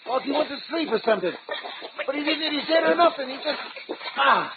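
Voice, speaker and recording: An actor playing a rough, uneducated, surly adventurer with blunt, slangy speech in an old-time radio serial. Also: male voice, very high voice, animated, brisk, music in the background. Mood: agitated. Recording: tinny radio recording with clear background noise.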